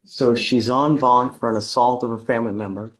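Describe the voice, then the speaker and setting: A man's voice: medium pitch, fairly even intonation, a little fast, low-quality recording. A man with an American accent speaking as a judge from the bench, blunt and emphatic in a courtroom.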